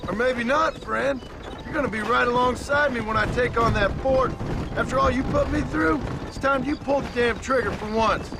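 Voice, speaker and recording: A gravelly, creaking American Western drawl voices a stern, world-weary gunslinger in a video game. The man is terse, dry and threatening, with clear noise of game effects behind him. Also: extremely high pitch, normal speed. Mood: sardonic. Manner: sardonic, terse. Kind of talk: video game cutscene acting.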